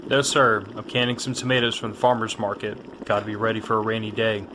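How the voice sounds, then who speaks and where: Actor as a low, even-toned obedient gunman. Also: fairly quick, natural voice. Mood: dutiful. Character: polite, obedient, baby-faced male gun for hire. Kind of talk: audio drama acting. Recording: bubbling, rumbling background noise.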